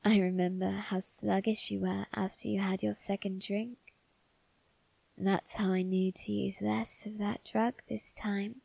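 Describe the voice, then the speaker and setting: A woman voicing a suave male vampire husband in an ASMR roleplay, coaxing softly and often whispering with quiet menace. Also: medium pitch, level tone, no echo, full character voice. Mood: soothing, sinister. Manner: slow, soft, breathy coaxing, often whispered.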